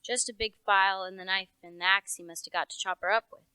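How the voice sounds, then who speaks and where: Perempuan muda memerankan polisi desa bersuara terang dan jernih. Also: female voice, mid-range voice, fairly even intonation, fast talker, sharp tone, clear, resonant tone, no room sound, natural voice. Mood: confiding. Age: young adult. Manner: rustic, knowing, matter-of-fact. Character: folksy, opinionated country police officer. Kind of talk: radio play acting.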